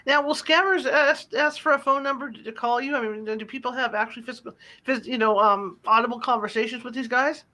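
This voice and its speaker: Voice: medium pitch, a little fast, bright, forceful tone. An interview host asking questions casually, with hesitant restarts.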